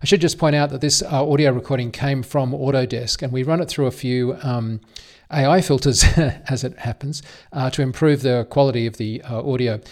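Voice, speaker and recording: An Australian man hosting a podcast, setting up a recording of a live panel. He speaks casually and hesitantly, often reaching for words with fillers. His pitch sits in an everyday middle range. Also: fairly even intonation, a little fast. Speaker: podcast host. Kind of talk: casual spoken introduction.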